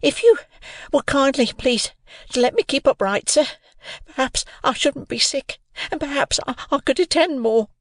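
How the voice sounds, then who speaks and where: Storybook child, done by a man: little frightened boy, high creaky voice, meek and pleading, very deferential. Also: unhurried, a bit bright, no echo, studio quality, exaggerated voice. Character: small, terrified, polite young orphan boy.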